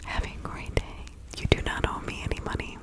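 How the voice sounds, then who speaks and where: A woman voicing a kindly village witch healer in an ASMR roleplay, giving gentle counsel in a breathy whisper. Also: lightly acted. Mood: caring, instructive. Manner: gentle, unhurried, instructing.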